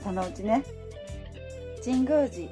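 A woman's voice: a little high, slow. An actress playing a flustered, prickly woman of thirty-three who narrates her own romance in a radio drama. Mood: sulky. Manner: expressive, switches between inner monologue and dialogue. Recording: music behind the voice.

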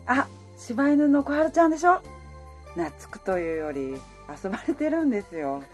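A woman's voice: fairly high pitch, sing-song, normal speed, darkish tone, soft background music. A woman playing a romantic, easily flustered working woman, in radio drama dialogue and first-person narration. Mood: flustered, bright.

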